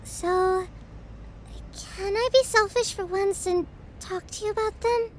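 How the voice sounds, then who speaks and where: A young woman acting a distraught, self-deprecating best friend confiding her troubles in an intimate audio roleplay, tearful and halting. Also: extremely high pitch, steady tone, a little hiss or hum. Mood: pleading, fragile.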